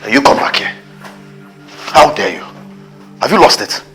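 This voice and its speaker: An actor with a Nigerian accent playing a domineering, jealous husband in a melodramatic film, bullying and scornful. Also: male voice, mid-range voice, big pitch swings, moderate pace, creaky, a bit bright, faint music behind. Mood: threatening.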